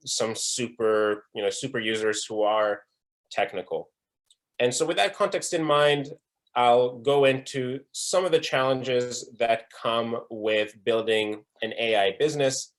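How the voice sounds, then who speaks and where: A young man from Israel giving a presentation at an online breakout session, earnest, with frequent fillers and restarts. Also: mid-range voice. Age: young adult. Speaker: conference speaker. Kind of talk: presentation talk.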